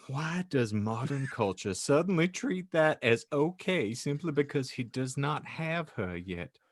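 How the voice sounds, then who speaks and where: Slow, dry-voiced man narrating. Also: mid-range voice, expressive, no room sound. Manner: dry, mock-serious reading, breaking into laughs. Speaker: podcast host and narrator. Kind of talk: comic fan fiction narration.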